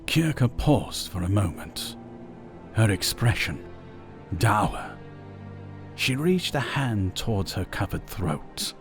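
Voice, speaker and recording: A grave male storyteller narrates in a lowish voice at a slow pace. His scripted fantasy narration sits over clearly audible music. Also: sing-song, full character voice. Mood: solemn.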